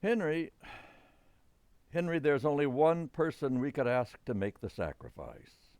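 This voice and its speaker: A man's voice: fairly high pitch, sing-song, a little slow, darkish tone, clear, resonant tone, no echo. An actor playing a solemn, resigned middle-aged father, grave and ponderous, in old-time radio comedy style.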